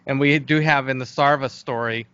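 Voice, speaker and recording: A man's voice: mid-range voice, fairly even intonation, normal speed, pushed and bright, clear, resonant tone. A man giving a casual interview as a guest, explaining his creative work in a hesitant, thinking-aloud way. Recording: dull, lo-fi call audio.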